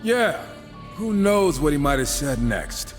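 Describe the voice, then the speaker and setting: Higher-voiced, wry, sceptical rogue. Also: male voice, big pitch swings, takes it slowly, faint music behind. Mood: wry suspicion. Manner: sardonic, casual. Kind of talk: video game cutscene acting.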